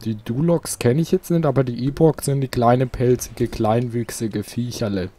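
A man's voice: medium pitch, fairly even intonation, moderate pace, mellow. A man hosting a casual let's play, chatting to his viewers. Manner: chatty, talks to viewers, asks for likes. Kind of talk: casual gameplay commentary.

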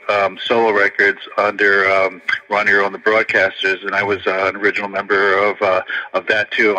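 Bright-sounding older New musician. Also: male voice, lowish voice, in a large hall, low-quality recording. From Connecticut, USA. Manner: rambling, filler-heavy storytelling. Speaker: blues pianist guest. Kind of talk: casual interview storytelling.

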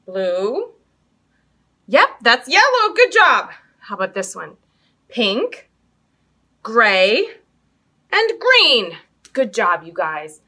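An American woman, likely in her thirties, hosts children's storytime indoors with an expressive, sing-song delivery, speaking to young children. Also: a little high, drawn-out words, pauses often. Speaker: children's library storytime host. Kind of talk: children's storytime, interactive games and picture book reading. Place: small indoor room hung with fabric drapes.

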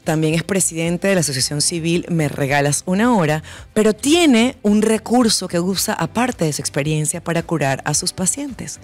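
A woman's voice: fairly low pitch, expressive, moderate pace. A woman hosting a news chat show in a small studio, exclaiming and laughing often. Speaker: host. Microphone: studio mic on boom, wearing headphones.

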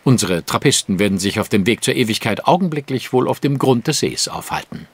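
An actor playing a composed, secretive Victorian detective in an audio drama, speaking formally and with dry brevity. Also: male voice, mid-range voice, animated, fairly quick, slightly rough, no echo. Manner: formal, composed, dryly laconic.

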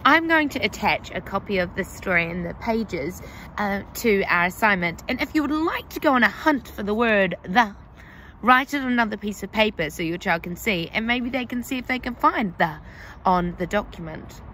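A young woman teacher, reading a picture book aloud to young children in a slow, exaggerated, sing-song way, recorded outdoors on a phone. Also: medium pitch, lively intonation, sharp tone, a little hiss or hum. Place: outdoors under trees.

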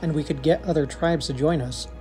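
A man's voice: fairly high pitch, steady tone, fairly quick, warm tone, soft background music, lightly acted. A man playing an earnest, cautious young male dragon in an audio drama. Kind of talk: audio drama character acting.

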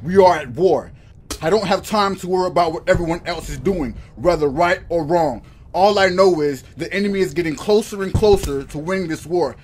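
A man's voice: high-pitched, fairly quick, a little mellow. A man playing an exasperated, dutiful army colonel in an audio drama, urgent and commanding. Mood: urgent, stern. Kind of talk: radio drama acting.

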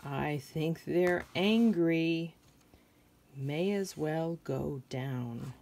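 A woman's voice: low-pitched, very slow, creaky, a little mellow. A middle-aged American woman reading a picture book aloud to children, adding simple questions and observations as she goes. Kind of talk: read-aloud storytelling with asides to young listeners.

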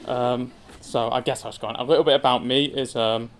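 A man's voice: mid-range voice, fairly even intonation, fairly quick. A young man in his twenties from England, telling his story to an audience from a lectern, casual and self-deprecating with many filler ums. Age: 20s. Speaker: zoo community engagement assistant giving a talk. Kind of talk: personal story talk to an audience. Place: indoor wood-panelled function room with seated audience. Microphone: speaking at a lectern.

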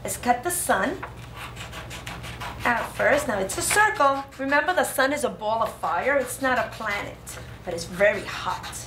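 Preschool teacher, female, high-pitched, sing-song craft instruction for little kids, roomy classroom sound with slight noise. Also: lively intonation, measured pace. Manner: sing-song, child-directed, exclamatory. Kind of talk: instructional craft lesson for young children. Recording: roomy classroom echo, faint background noise.

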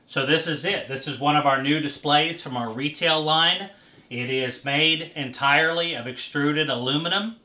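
Guy in his 30s walking thru a product demo, casual w/ lots of uhs, bright tone, roomy echo. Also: fairly high pitch, normal speed. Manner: casual, explanatory, with fillers and restarts. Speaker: host. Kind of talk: product demonstration. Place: indoor showroom with bare walls. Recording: echoey room.

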